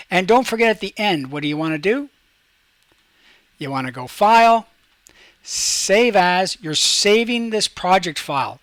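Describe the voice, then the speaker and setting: A man teaching a class, talking casually through each step of a software tutorial and checking in as he goes. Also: sits high, no echo. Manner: talks through each step while demonstrating, checks in with "okay".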